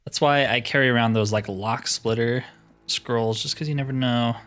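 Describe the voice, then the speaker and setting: A man's voice: medium pitch, fairly even intonation, normal speed, a bit bright. A man giving casual, mildly exasperated commentary while playing a video game, thinking aloud as he goes. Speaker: gaming video host.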